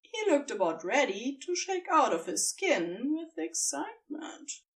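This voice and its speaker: Female narrator for fan fiction. Lively intonation, unhurried pace, expressive storytelling, a little off-mic. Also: sits high, recorded from a distance.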